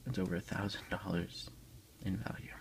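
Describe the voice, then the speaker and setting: A man speaking in a soft, unhurried, hushed whisper close to the microphone, as an ASMR creator reading aloud and commenting for an unboxing. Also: sits low, steady tone, creaky, slight background noise.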